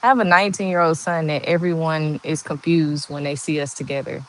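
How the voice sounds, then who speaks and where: Woman in her forties with a monotone voice. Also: low voice, a little fast, low-quality recording. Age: 40s. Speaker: livestream host. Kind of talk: casual live chat answering viewer comments.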